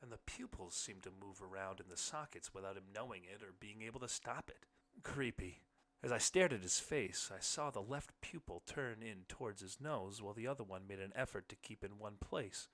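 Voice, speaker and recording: A man narrating a literary short story in the first person, with measured phrasing. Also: lowish voice, fairly bright tone. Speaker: audiobook narrator.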